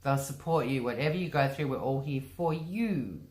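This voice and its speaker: A woman with a strikingly deep, low voice hosts a casual livestream from home, speaking into a computer mic at her desk. She chats loosely and rambles, reading out viewers' comments and filling her talk with ums and likes. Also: moderate pace, darkish tone. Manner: chatty, rambling, often says um and like. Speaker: livestream host.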